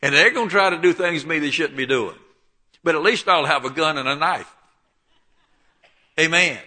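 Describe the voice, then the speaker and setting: A man in his seventies with a Southern Alabama accent, preaching and telling his life story in a folksy way, often clearing his throat. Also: fairly high pitch, normal speed, sharp tone. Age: 70s. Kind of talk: sermon with personal testimony. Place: church.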